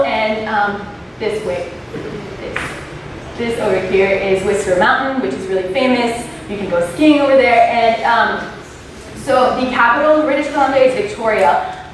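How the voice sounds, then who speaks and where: A young woman with a French Canadian accent, a native French speaker, giving an informal student presentation in a nervous, chatty way. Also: mid-range voice. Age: young adult. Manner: nervous, self-conscious, chatty. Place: echoey indoor room, recorded from a distance. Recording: very echoey room, distant mic, faint noise.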